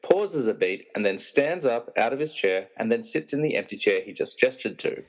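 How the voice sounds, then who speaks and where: A man with a medium-pitched voice works as narrator. He reads screenplay stage directions aloud in a scripted narrating style. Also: animated, moderate pace, poor audio.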